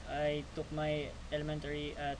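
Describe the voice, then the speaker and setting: Man in his twenties from the Philippines, student presenter, flat stiff delivery, noisy recording. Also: a little high, moderate pace. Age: 20s. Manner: reads stiffly, repeating phrases. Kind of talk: class project presentation. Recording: noticeable background noise.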